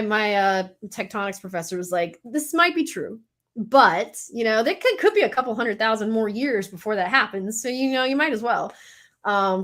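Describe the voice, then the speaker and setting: A woman, a guest scientist talking frankly and animatedly on a remote interview call. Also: mid-range voice. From Mississippi Gulf Coast. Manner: frank and animated, swears casually, laughs often. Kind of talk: casual interview chat.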